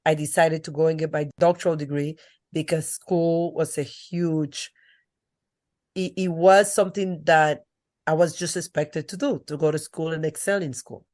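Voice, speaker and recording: Brief: lower-pitched middle-aged woman, earnest storyteller on a podcast. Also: fairly even intonation, moderate pace, darkish tone. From Dominican Republic. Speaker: guest. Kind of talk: podcast interview.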